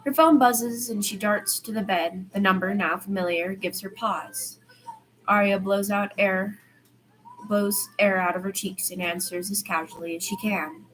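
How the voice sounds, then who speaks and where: A woman's voice: mid-range voice, fairly even intonation, unhurried, a bit bright. Woman reading a story, noisy recording. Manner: informal, stumbles over words and corrects herself. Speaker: amateur fan fiction podfic reader.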